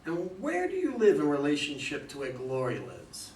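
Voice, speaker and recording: A man's voice: fairly high pitch, wildly swooping pitch, moderate pace, darkish tone. A man in a staged play reading as a suspicious, decisive investigator boss, with an emphatic, theatrical delivery. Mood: stern, probing. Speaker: reader in a community play reading. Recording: distant mics, echoey room, faint noise.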